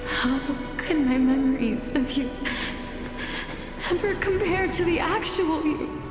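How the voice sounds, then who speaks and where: A young actress voicing a sorrowful younger sister who defies fate in a video game, earnest and plaintive. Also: female voice, sits high, slow. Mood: anguished. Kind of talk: dramatic video game voice acting. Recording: echoey hall reverb with music behind.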